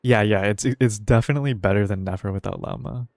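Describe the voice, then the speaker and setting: A man's voice: lowish voice, quick pace, darkish tone, dry recording, studio quality. A man streaming a game and chatting casually, rambling in a hesitant, laid-back way as he thinks aloud. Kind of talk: casual livestream chat while gaming. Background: faint music.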